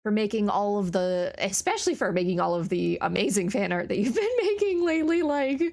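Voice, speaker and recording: A woman's voice: medium pitch, lively intonation, brisk, darkish tone. A woman co-hosting a game stream, chatting casually with upbeat gushing and some swearing. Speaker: co-host on a stream.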